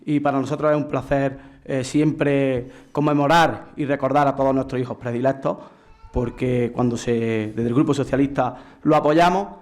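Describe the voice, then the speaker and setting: Man, hesitant, formal thanks, medium pitch. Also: steady tone, moderate pace, a little mellow. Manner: hesitant, many fillers. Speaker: municipal councillor. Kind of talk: brief formal thanks at a public event.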